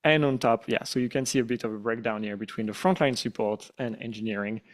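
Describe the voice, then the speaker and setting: A man's voice: mid-range voice, fast talker. French-accented male company founder. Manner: relaxed, self-deprecating, with frequent fillers. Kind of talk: town hall presentation.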